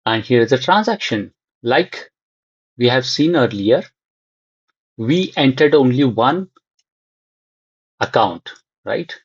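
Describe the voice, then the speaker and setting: A man giving an unscripted screen-share software tutorial, thinking aloud in short, halting fragments. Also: medium pitch, sing-song, slightly rough, no echo, lo-fi sound.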